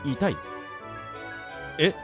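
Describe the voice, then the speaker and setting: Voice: very slow, over music. An actor playing a bewildered, grumbling young person dragged into a fantasy world in a radio drama, blunt and full of muttered, exasperated retorts. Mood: startled, pained. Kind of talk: Japanese radio drama acting.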